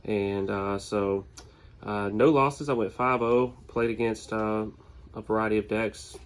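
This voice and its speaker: A low-pitched man with a sniffly head cold, talking in an even, flat tone. He explains his deck in an easygoing way, with frequent "uh"s and restarts, sounding relaxed and unhurried. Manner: easygoing, many fillers and restarts. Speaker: tournament winner presenting his deck. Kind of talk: casual deck walkthrough.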